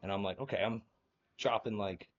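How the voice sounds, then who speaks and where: A young man from New Jersey tells long, meandering stories as an interview guest. His voice sits low, and he leans on fillers and laughs between thoughts. The audio is dull and lo-fi. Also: normal speed, a little hiss or hum. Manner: rambling, filler-heavy, laughs often. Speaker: guest, former college wrestler. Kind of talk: casual interview storytelling.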